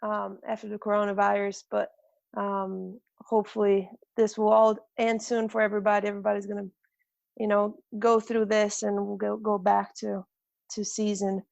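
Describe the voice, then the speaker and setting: Woman, Brazilian accent, gravelly, flat delivery, hesitant, dry recording. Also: medium pitch, normal speed, no room sound, lo-fi sound. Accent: Brazilian Portuguese. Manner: hesitant, many ums and restarts. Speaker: strength and conditioning coach. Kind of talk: guest telling her life story.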